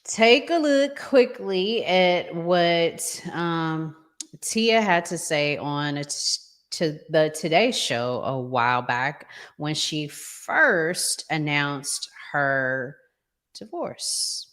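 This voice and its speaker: Slow-paced woman hosting a podcast. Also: low voice, expressive, a bit bright. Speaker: podcast host. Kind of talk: casual relationship talk show hosting.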